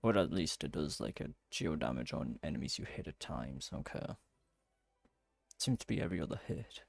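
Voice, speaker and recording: A man's voice: very deep voice, big pitch swings, normal speed, gravelly, no room sound. A man giving casual gameplay commentary, musing aloud to himself in short reactions. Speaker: gamer playing through a story quest. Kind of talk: casual game playthrough commentary.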